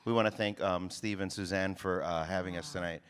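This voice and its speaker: Low-voiced joking man on stage. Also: fairly even intonation, fairly quick, fairly bright tone. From Hawaii, USA. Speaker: marriage ministry leader. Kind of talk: church testimony. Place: church stage.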